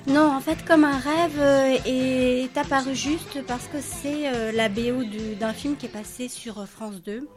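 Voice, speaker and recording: Joking woman singer, higher voice. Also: fairly even intonation, unhurried, hardly any pauses, over music. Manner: joking, playful banter. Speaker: singer, guest. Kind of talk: casual radio interview.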